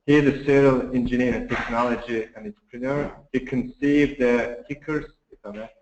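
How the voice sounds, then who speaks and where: A man hosting a seminar, reading a formal introduction of the speaker in a slightly halting way. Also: mid-range voice, darkish tone. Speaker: event host introducing a speaker. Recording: echoey, dull room recording.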